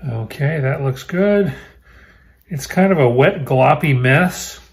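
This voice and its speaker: A man in his fifties hosting a home cooking show, explaining each step in a relaxed way as he works in his kitchen. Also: fairly high pitch, takes it slowly. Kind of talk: casual cooking demonstration.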